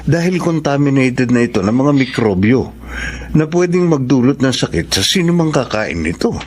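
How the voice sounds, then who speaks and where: An actor playing a courteous, explanatory doctor in a Filipino melodrama, with a Filipino accent. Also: male voice, medium pitch, sing-song, normal speed, a little mellow, breathy edge. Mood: serious. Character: serious, courteous male hospital doctor. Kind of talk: Filipino radio-style melodrama acting.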